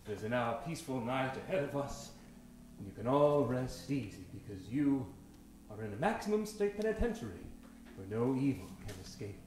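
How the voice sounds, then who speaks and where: A man's voice: medium pitch, animated, normal speed, darkish tone, slight background noise, natural voice. A man playing a pompous, villainous prison warden in a theatrical old-time radio melodrama. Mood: smugly official. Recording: very echoey room, faint music.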